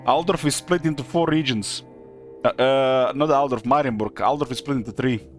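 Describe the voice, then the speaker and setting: A young man commenting on his strategy game play in a halting way, with many fillers and self-corrections, while losing his voice. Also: medium pitch, faint music behind. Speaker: game streamer. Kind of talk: casual gameplay commentary.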